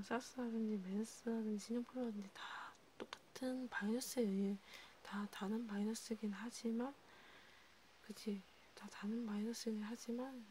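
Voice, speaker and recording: A woman playing a sympathetic vampire counselor in a whispered ASMR role play, breathy and intimate, talking casually and haltingly. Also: fairly high pitch, mellow, slight background noise, lightly acted. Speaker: ASMR creator.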